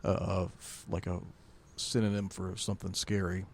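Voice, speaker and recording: A man's voice: sits low, level tone, normal speed, a little mellow. A man co-hosting a podcast in casual chat, thinking aloud in a laid-back, hesitant way. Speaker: podcast co-host.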